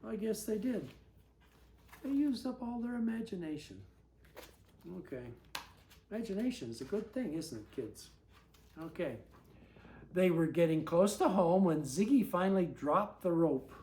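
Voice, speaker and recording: Slow, expressive storybook reader with folksy asides. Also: mid-range voice, echoey room, slight background noise. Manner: folksy, conversational commentary between read lines. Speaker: read-aloud storyteller. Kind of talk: picture book reading with asides to children.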